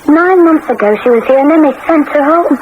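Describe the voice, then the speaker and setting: A woman's voice: high-pitched, fairly quick, natural voice. A woman playing a grieving, lonely young mother of Russian royalty in a radio drama, plaintive and pleading. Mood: miserable pleading. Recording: muffled, noisy old radio broadcast.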